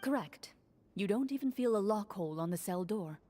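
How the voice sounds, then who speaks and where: A woman voicing a poised game master who narrates a courtroom scene in a measured, formal, dryly stern way, in a video game. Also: mid-range voice, animated, mellow, close to an everyday voice. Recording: game audio with clear music underneath.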